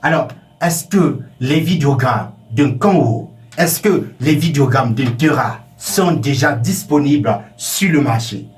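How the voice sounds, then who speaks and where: A man's voice: medium pitch, lively intonation, unhurried, recorded from a distance, faint music behind. A man hosting a live studio talk show, talking with many fillers and repeated words. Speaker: TV show host. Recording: echoey room, voice from afar.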